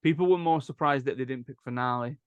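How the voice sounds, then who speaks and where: A man with a medium-pitched voice hosts a relaxed podcast chat. His sound is dry, with hardly any room on it, and his delivery is informal and conversational. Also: fast talker, clear, resonant tone, dry recording, highs cut off. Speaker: podcast host. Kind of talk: casual chat.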